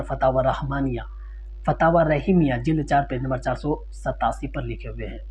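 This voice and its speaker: Man giving religious instruction over loud hum. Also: medium pitch. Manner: didactic, explanatory, restating points in simpler words. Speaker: Islamic religious teacher answering a ruling question. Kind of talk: informal religious lecture citing sources. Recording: dull lo-fi sound with loud hum.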